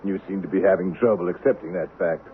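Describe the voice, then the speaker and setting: A vengeful Old West man acted by a man in a vintage radio play, with a deep voice and a measured, sardonic, taunting manner. The sound is narrow and tinny, with some hiss. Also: steady tone, close to an everyday voice. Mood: triumphant, contemptuous. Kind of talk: 1970s radio drama acting.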